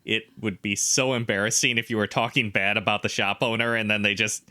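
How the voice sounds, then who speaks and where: Bright-toned low-voiced man co-host. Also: little pitch movement, a little fast, dry recording. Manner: inquisitive, asks clarifying questions, opinionated. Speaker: podcast co-host. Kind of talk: casual chat, reading online comments aloud.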